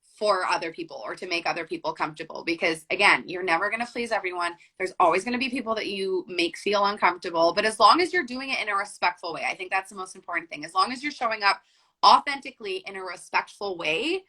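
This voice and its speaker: A woman's voice: medium pitch, quick pace, pushed and bright. A young woman guest talking casually in an interview, full of "like" and "um" fillers. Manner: chatty, frequent "like" and "um" fillers. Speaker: podcast guest.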